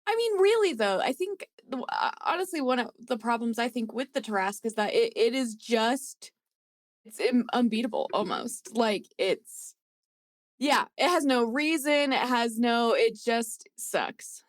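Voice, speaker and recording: A woman's voice: on the high side, animated, unhurried, dry recording, clean studio sound. A woman on a casual discussion panel, thinking aloud somewhat tentatively as she gives her view. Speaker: podcast panelist.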